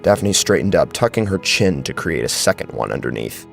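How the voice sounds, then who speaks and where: Deep, gravelly male horror narrator. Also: big pitch swings, moderate pace, music in the background. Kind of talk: dramatic scripted horror narration.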